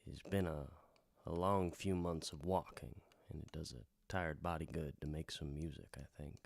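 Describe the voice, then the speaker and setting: A man playing a weary, gentle, wry wandering bard who tells tales in an unhurried, musing way with trailing pauses, in the style of a radio drama. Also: extremely low pitch, steady tone, vocal fry, dry recording. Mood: wistful, wry. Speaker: host and bard of a radio hour. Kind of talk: in-character radio drama storytelling.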